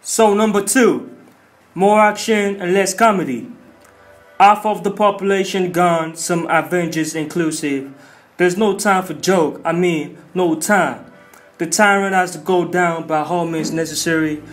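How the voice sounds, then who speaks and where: A man hosts a movie commentary video in a hyped, informal and slangy way. His voice sits a little high, and music plays behind him. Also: measured pace. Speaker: video host.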